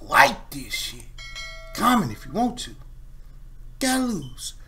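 A middle-aged man giving casual, animated, slangy reaction commentary as a video host. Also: on the high side, takes it slowly, slightly rough. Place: small home room.

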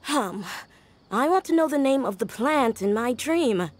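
A woman's voice: sits high, a little slow. An eager village girl. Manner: eager, excited.

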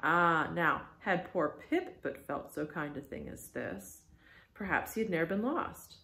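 A woman voicing a crazed, pitiful young cabin boy who babbles excitedly in riddles. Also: sits low, measured pace, creaky, full character voice. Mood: wistful. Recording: echoey room.

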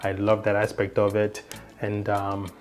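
A man's voice: on the low side, completely flat delivery, measured pace, soft background music. A young man, not a native English speaker, casually walking through a device's features in a small indoor room, rambling with many fillers and restarts. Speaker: tech reviewer. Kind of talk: casual product demo.

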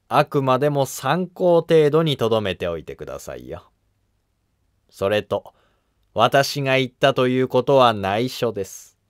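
An actor playing a humble, cautious monk who advises an emperor in formal, deferential court speech in a historical drama. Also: male voice, medium pitch, no room sound, clean studio sound, natural voice. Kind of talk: Japanese historical drama dialogue.

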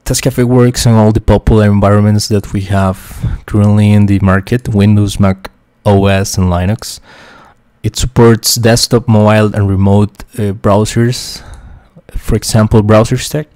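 Low, flat-voiced man with a Costa Rican accent. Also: moderate pace, dry recording. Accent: Costa Rican Spanish. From Costa Rica. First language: Spanish. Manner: hesitant, many fillers and restarts, quietly talks to himself. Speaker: QA engineer teaching a software tutorial. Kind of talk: screen-share tutorial explanation.

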